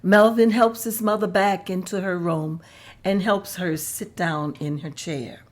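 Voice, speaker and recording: A woman narrating a table read in a plain, measured way. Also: on the low side, steady tone.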